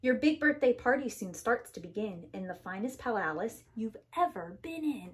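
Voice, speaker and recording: A woman's voice: a little high, expressive, moderate pace. A woman preschool teacher reading a rhyming picture book aloud to young children in an emphatic, sing-song rhythm.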